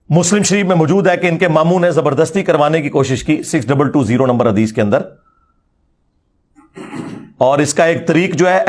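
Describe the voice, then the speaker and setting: Pakistani punjabi guy doing a fiery religious talk, pretty high voice, mocking and emphatic. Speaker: religious lecturer. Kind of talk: informal polemical religious lecture.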